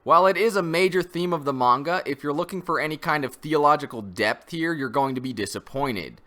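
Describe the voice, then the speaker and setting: A man's voice: on the high side, a little fast, pushed and bright. A man giving an opinionated, conversational review commentary, critical and semi-scripted. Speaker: host of a comic review channel.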